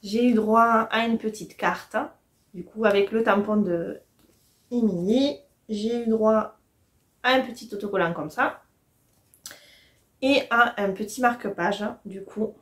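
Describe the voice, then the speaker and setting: A woman's voice: medium pitch, measured pace, long pauses. A woman, chatting casually and enthusiastically to the camera as a book vlogger. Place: small furnished room at home, indoors.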